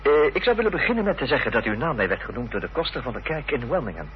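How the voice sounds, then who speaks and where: An actor playing a courteous, inquisitive gentleman investigator in a radio drama, speaking in a polite, measured, formal way. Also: mid-range voice, sing-song, close to an everyday voice. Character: courteous, inquisitive gentleman investigator narrating his own search. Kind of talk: radio drama dialogue and first-person narration. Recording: muffled old radio play recording, faint hiss and hum.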